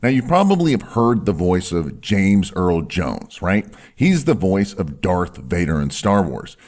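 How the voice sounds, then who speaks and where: A man with a low voice that swings widely in pitch gives an instructional talk as a doctor hosting a health video. He leans on key words, slowing down and sinking his voice for effect. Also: big pitch swings, darkish tone. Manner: emphatic, slows down and drops low on key words.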